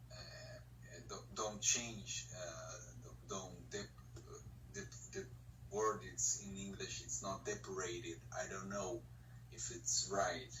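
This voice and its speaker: A man from Brazil with a Brazilian Portuguese accent, an English learner who pauses and searches for words. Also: low-pitched, pushed and bright, reverberant, far from the mic, a little hiss or hum, rough recording. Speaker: English student. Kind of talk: online conversation lesson.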